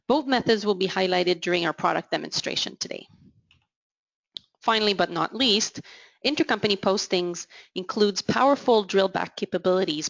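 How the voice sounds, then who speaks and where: A woman giving a measured, explanatory software product demo as voice-over, slowing down on key terms. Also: medium pitch, creaky, dry recording, dull audio. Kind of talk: scripted product demo narration.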